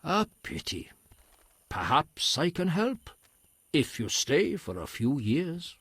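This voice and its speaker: Gentle formal druid, husky, wide swooping intonation, unhurried, game dialogue. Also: male voice, on the high side, sing-song, no echo, heavily acted. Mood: welcoming. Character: serene, courteous male druid elder, lover of nature. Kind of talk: video game dialogue acting.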